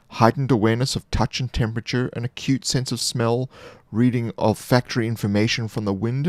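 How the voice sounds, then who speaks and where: A man reading scripted fantasy monster lore as a narrator, in a measured, formal storytelling manner. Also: fairly low pitch, no room sound.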